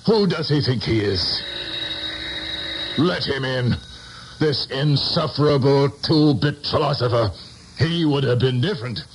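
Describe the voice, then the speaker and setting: An actor playing a haunted, defensive middle-aged American politician in an intense 1970s radio drama style. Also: male voice, mid-range voice, a little slow, long pauses, close to an everyday voice. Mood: agitated, defiant. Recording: noisy 1970s radio broadcast recording.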